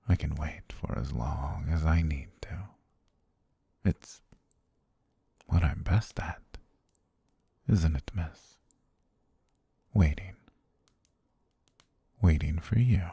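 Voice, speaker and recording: A man playing a possessive, lovestruck butler in an intimate ASMR roleplay, patient and menacing, with courtly, softly coaxing, half-whispered speech and a gravelly, husky low voice. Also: no echo, full character voice. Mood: patient devotion.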